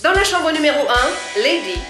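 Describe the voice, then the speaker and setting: Pompous, swooping cartoon headmistress. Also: female voice, high voice, big pitch swings, normal speed, echoey room, over loud music. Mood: announcing. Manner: theatrical, pompous. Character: grandiose, strict woman director of a talent campus. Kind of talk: French-dubbed children's cartoon acting.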